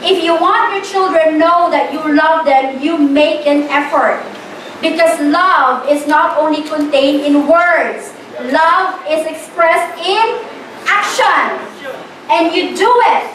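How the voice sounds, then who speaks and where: Very high-pitched woman preaching, echoey. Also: a bit bright. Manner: emphatic, deliberate repetition in short phrases. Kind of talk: informal church sermon with questions to the congregation. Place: church hall with stage, indoors. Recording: roomy echo, distant mic, faint noise.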